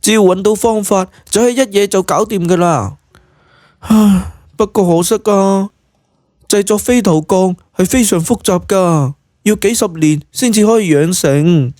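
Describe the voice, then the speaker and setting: A woman playing a bashful yet bossy young witchcraft expert in a Cantonese audio drama, expressive and huffy. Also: mid-range voice, unhurried, no room sound, clean studio sound, natural voice. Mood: instructive.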